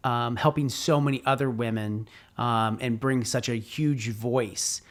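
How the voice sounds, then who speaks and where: Halting male podcast host. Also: mid-range voice, fairly even intonation. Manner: halting, many fillers, praising. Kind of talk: interview questions.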